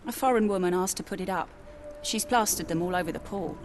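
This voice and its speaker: A woman's voice: on the low side, fast talker, a little hiss or hum, natural voice. An actress playing a guarded, plain-spoken woman who runs a Victorian port tavern in a video game. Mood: matter-of-fact. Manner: wary, matter-of-fact. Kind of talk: video game cutscene acting.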